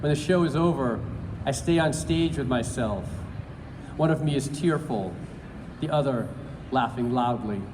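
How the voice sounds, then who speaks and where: A man at a live event, reading poetry slowly and deliberately, with halting remarks full of fillers in between. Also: mid-range voice. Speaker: poetry reader. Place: large event hall. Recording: roomy hall with background noise.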